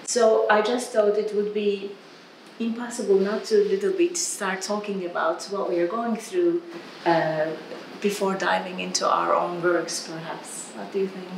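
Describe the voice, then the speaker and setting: A woman's voice: medium pitch, steady tone, normal speed. Echoey, hesitant Turkish-accented woman. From Turkey. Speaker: curator moderating a panel. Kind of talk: reflective panel discussion. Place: gallery room, indoors. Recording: echoey room, faint background noise.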